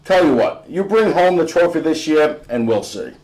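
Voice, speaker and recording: A man's voice: fairly high pitch, sing-song, moderate pace, a little mellow, pitched above the performer's own voice, low-quality recording. Man reading as a proud, bantering, teasing dad. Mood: teasing, challenging. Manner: bantering, boastful, emphatic. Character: proud, teasing, loving father and former basketball star.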